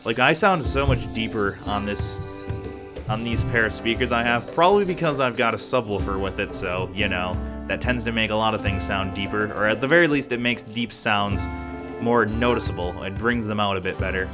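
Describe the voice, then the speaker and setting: A man's voice: lowish voice, normal speed, over music. A man rambling casually as he comments on the video game he is playing. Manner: chatty, rambling, thinks aloud. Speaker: let's play gamer.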